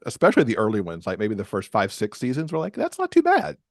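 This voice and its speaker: A man's voice: medium pitch, huge pitch swings, quick pace, no room sound, clean studio sound. A man chatting casually as a podcast guest, talkative and easy to laugh. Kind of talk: casual conversational interview.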